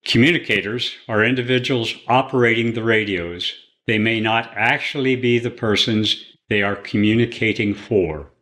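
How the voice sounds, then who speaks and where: A man reading scripted instructional material and radio procedures in a deliberate, procedural way. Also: lowish voice, a bit bright. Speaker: training presenter and radio net controller in demonstration.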